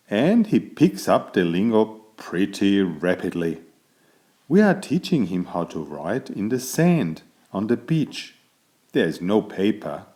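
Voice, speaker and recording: A man narrating a children's bedtime story in an expressive, deliberate way. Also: lowish voice.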